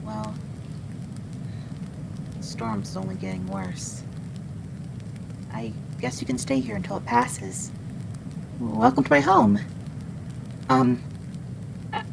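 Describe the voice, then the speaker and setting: A woman playing a friendly, skittish wendigo in an ASMR roleplay, protective and halting in the way this forest monster talks. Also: mid-range voice, wildly swooping pitch, heavily acted. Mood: uneasy, welcoming. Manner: hesitant, halting, hushing. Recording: clearly noisy background.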